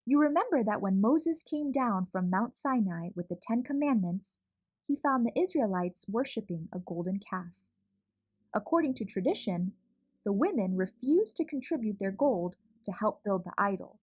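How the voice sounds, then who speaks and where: Animated woman reading a picture book. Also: mid-range voice, moderate pace, slightly rough, low-quality recording. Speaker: children's book reader. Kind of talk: read-aloud storytelling.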